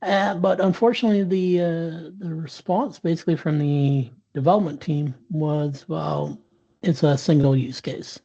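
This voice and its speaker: Dark, husky man telling a story. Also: fairly high pitch, fairly even intonation, moderate pace, dull audio. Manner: frequent restarts and fillers, earnest. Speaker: interview guest, sales professional. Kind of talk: candid conversational storytelling. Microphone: video call.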